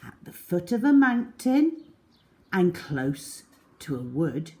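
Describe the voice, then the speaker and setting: A woman reads a storybook to young children. Her voice sits slightly low for a woman and moves very slowly and deliberately. Her pitch rises and falls in wide, expressive swings, and she stretches out words for effect. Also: heavy background noise. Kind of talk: children's storybook read-aloud.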